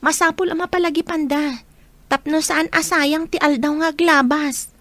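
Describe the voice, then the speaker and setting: Woman acting high-voiced, earnest young student girl. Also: steady tone, normal speed, a bit bright, no room sound. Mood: worried. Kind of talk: amateur audio drama acting.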